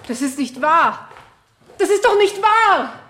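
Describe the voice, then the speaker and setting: A betrayed wife testifying, high voice, anguished and halting, old radio drama. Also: female voice, animated, a bit bright, heavily acted. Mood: desperate, indignant. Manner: emotional, halting, insistent. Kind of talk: 1970 German radio play acting.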